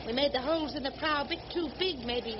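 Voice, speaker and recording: A female actor plays a devoted, strong-willed, prim woman in a radio drama. Her voice sits extremely high and sounds earnest and genteel. The recording is dull, with clear noise behind her. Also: fast, close to an everyday voice. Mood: anxious, determined.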